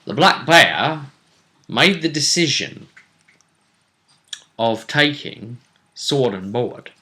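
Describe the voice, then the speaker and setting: A man hosting a casual recap of a tabletop role-play tournament, telling it like a story with deliberate phrasing. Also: medium pitch, lively intonation, pushed and bright. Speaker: host.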